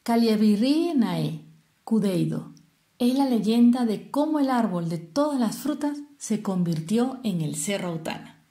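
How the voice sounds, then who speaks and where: A woman from Venezuela with a Venezuelan Spanish accent, telling a traditional tale expressively and explaining things as she goes, with deliberate pauses. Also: on the high side, mellow. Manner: didactic, explains terms with rhetorical questions and pauses. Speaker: storyteller.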